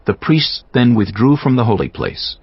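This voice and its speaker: A man narrating scripture from a script in a measured, formal manner. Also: medium pitch, very animated, slightly rough, no room sound. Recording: slightly dull audio with music bed.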